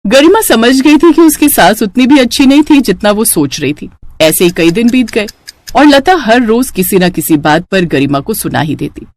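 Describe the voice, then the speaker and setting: Female story narrator, expressive and animated, middle-register voice. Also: mid-range voice.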